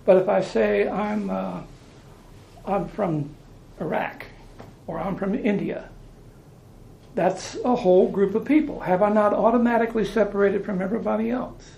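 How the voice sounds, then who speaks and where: High-voiced, gravelly man preaching casually. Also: steady tone, moderate pace, pauses often, mellow, echoey room, a little hiss or hum. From United States. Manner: conversational, repeats phrases, restarts, chuckles. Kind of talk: informal preaching.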